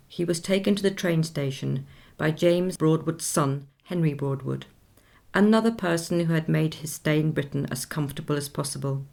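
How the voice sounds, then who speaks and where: A composed woman recounts a story in a radio drama. Her voice is very low for a woman, with a marked creak at the edges. She speaks in measured, articulate sentences, like someone carefully telling a history she knows well. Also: darkish tone. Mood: measured, wistful. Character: Miss Lind, composed woman recounting a composer friend's last tour. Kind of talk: scripted radio play narration.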